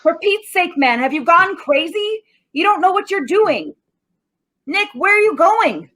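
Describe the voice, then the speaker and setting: A woman's voice: very high-pitched, sing-song, measured pace, sharp tone, full character voice. A woman playing a plain-spoken, placating police detective in 1940s radio style, with folksy, slangy, pleading delivery. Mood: alarmed.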